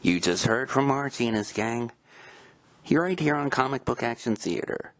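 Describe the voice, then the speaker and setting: A man's voice: mid-range voice, expressive, moderate pace, no echo. A man hosting a show, giving a plain presenter's sign-off to listeners. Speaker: podcast host.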